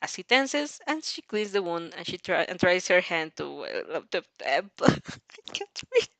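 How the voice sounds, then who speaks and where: A woman reads a story aloud with a very lively, swinging intonation. She is giggly and stumbles here and there as she reacts to the text, in a dry, close sound. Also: lowish voice, a little slow, creaky, no echo. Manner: giggly, stumbles over words. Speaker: fan fiction reader reacting as she reads. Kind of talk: expressive story reading with amused reactions.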